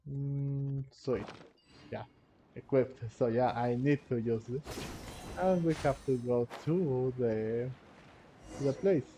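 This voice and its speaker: A man's voice: medium pitch, takes it slowly, pauses often, warm tone, slight background noise. A man giving casual playthrough commentary on a game, with hesitant, repeating phrasing. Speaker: gaming video host. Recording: dull lo-fi microphone sound. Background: faint game music.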